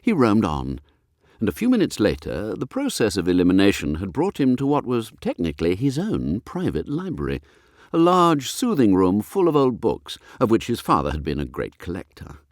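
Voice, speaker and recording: A man narrates a comic novel with great expression. His voice is fairly low, yet it swoops widely from phrase to phrase. The sound is dry, with no room echo. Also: wildly swooping pitch, moderate pace, darkish tone. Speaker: audiobook narrator.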